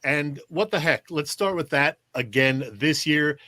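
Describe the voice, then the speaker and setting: A man's voice: fairly high pitch, measured pace, sharp tone, no echo. An American man hosting a conversational sports interview, putting questions about baseball to a guest. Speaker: sports show host, interviewer.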